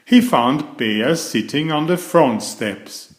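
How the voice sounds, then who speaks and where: A man reading a children's story aloud in a deliberate, expressive way. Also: a little high. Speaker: storybook narrator. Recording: echoey room.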